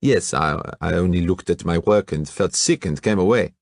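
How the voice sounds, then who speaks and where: A man voicing an excitable male artist with formal, stilted phrasing. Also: low voice, moderate pace. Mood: excited. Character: excitable, high-strung male foreign artist.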